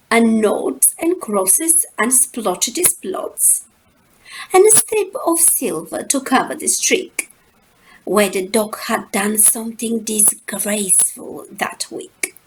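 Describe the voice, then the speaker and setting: A woman reading a rhyming children's story aloud, expressively and rhythmically, with dramatic pauses and drawn-out words. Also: a little high, poor audio.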